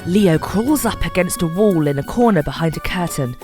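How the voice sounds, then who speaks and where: A woman's voice: fairly low pitch, expressive, moderate pace, over music. A woman giving playful scripted narration for an audio adventure story.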